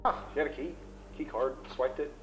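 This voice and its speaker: A man's voice: mid-range voice, fairly quick, a bit bright, faint music behind, close to an everyday voice, rough recording. An actor playing a casual male talk show guest in an analog horror web series. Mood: casual, puzzled. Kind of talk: acted casual interview chat.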